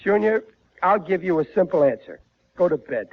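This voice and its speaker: Casting: blustering, stubborn American dad for vintage radio sitcom, high swooping voice, muffled old recording. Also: male voice, sing-song, normal speed. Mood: blustering, defiant. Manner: blustering, stammering, exasperated. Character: blustering, stubborn, easily fooled working-class family father. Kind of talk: 1940s radio sitcom acting.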